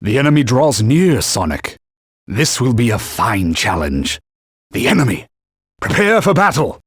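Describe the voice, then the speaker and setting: A man's voice: medium pitch, very animated, a little slow, slightly rough, no room sound, clean studio sound. An actor playing a haughty male talking sword, a stern mentor who gives orders and scolds in old-fashioned knightly phrasing, for a video game. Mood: warning. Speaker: game character.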